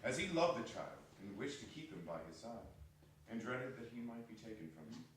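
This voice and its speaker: A man's voice: mid-range voice, sing-song, fairly quick. A man narrating a literary story as a dramatic reading. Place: hall of arts center. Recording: echoey hall, distant mic, faint noise.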